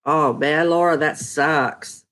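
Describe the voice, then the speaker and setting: Woman, middle-aged, stuffed-up nasal voice, lowish, easygoing live-stream host chatting with viewers. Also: slow, a bit bright. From Texas. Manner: chatty, greets viewers by name. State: stuffy, congested nose. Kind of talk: casual live chat reading viewer comments.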